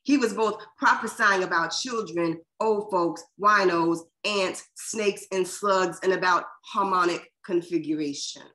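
A middle-aged woman poet reading poems and introducing them casually on a video call, in a measured, deliberate way. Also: fairly low pitch, bright, forceful tone, poor audio. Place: small furnished room at home, indoors. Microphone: video call computer microphone.

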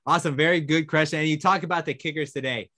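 A man's voice: a little high, fast, sharp tone, no room sound. A young man hosting a casual question and answer session from a small indoor studio. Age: young adult. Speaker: host.

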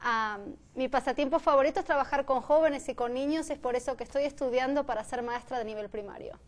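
A woman's voice: high-pitched, fairly quick. A young woman from Buenos Aires with a Rioplatense accent, cheerful, introducing herself on a studio panel. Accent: Rioplatense Spanish. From Buenos Aires, Argentina. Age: young adult.

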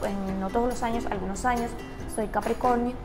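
A woman's voice: a little high, steady tone, brisk, over music. A woman in her late twenties from Cuba with a Cuban Spanish accent, chatting to camera as she answers viewer questions one by one in a casual vlog. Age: 20s. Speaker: YouTuber. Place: indoors, large room with tables.

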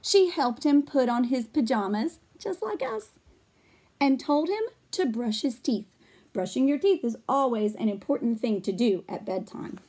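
A woman in her thirties reading a picture book aloud to children in a gentle, expressive way, explaining as she goes. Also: a little high, unhurried, slightly rough. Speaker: storytime reader. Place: small furnished room at home.

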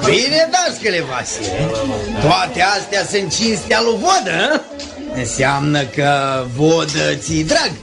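Sly, high-pitched bullying village bailiff. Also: male voice, wildly swooping pitch, creaky, exaggerated voice. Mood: falsely jovial. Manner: mocking, commanding, repeats phrases. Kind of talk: old Romanian radio drama acting. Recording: old radio recording, music and crowd behind.

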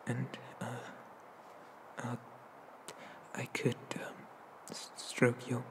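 A man voicing a shy, gentle young male demon in an ASMR roleplay, soft and hesitant, often slipping into whispers. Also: medium pitch, monotone, extremely slow. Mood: nervous, tender. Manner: hesitant, stammering, bashful. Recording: noticeable background noise.

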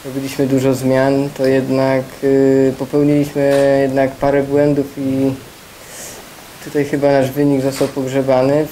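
Calm, flat young rider. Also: male voice, mid-range voice, measured pace, a little hiss or hum, low-quality recording. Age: young adult. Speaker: speedway rider. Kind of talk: post-match press conference. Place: press room, indoors. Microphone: table microphones.

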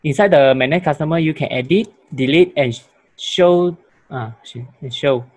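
A young man, a student presenter, giving a software demo with frequent restarts, fillers and broken phrases. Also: on the high side, normal speed. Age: young adult. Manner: stumbling, many restarts and fillers.

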